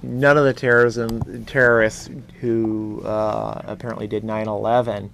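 A man's voice: on the low side, level tone, measured pace, vocal fry, a bit bright, a little hiss or hum. A middle-aged American man hosting a political talk show, speaking in an earnest, opinionated way. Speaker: talk show host and activist. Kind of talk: political discussion on a call-in TV show. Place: small indoor TV studio.

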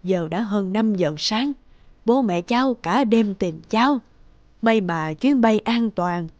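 An old grandmother in an animated family drama. She speaks with gentle patience, consoling while she admonishes. The recording is dry and a bit dull. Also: medium pitch, slow, no echo. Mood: gently reproving.

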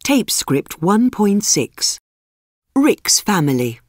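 A woman announcing textbook tracks and reading the alphabet slowly and deliberately. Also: mid-range voice, sing-song, a little mellow, no room sound, clean studio sound. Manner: slow, deliberate and carefully spoken. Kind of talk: scripted lesson announcements.

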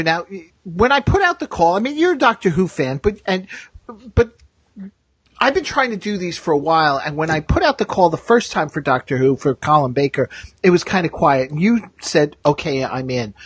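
A man hosts a casual podcast chat. His voice is husky and swings widely in pitch. He talks in a chatty way, thinking aloud, in a dry, close sound. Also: no room sound. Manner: chatty, quick to laugh, thinks out loud. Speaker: podcast host.

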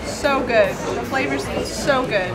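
High-voiced young woman over loud music. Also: slow, reverberant, in a large hall, over a noisy crowd. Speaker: travel show host. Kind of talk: casual on-location chat.

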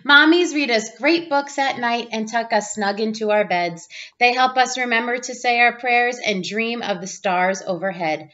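A woman in her thirties reading a children's picture book aloud in an expressive, playful way, like a teacher. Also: a little high, normal speed, hardly any pauses. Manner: expressive, playful exclamations and sound effects. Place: small room at home, indoors. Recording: dull lo-fi webcam audio.

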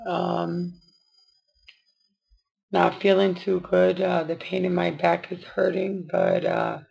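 Raspy, weary woman speaking slowly. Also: on the low side, a little mellow. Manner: slow, weary talk with many pauses and fillers. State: tired and in pain. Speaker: vlogger. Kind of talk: personal video diary. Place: dim room at home at night. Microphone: webcam close up. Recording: dull lo-fi webcam audio.

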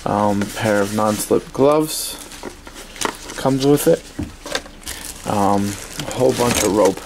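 A man giving a casual, halting, offhand unboxing review at a desk in a small indoor workspace. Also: on the low side. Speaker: product reviewer. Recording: steady noisy room ambience.

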